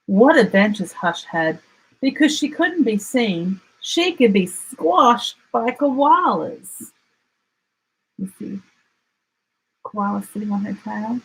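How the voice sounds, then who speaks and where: Children's storyteller: Australian woman, slightly husky, slow, expressive, dramatic emphasis. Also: mid-range voice, rough recording. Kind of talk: children's picture book read-aloud.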